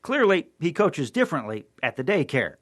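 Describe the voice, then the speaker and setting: A man's voice: a little high, big pitch swings, moderate pace, very noisy. A man narrating a local TV news feature in a scripted reporter style.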